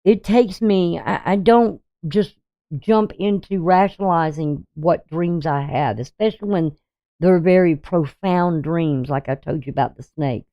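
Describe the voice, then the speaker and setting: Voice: mid-range voice, a little slow, muffled recording. An astrologer in their sixties talking casually through a daily chart reading, rambling and hesitant, with many ums and restarts. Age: 60s.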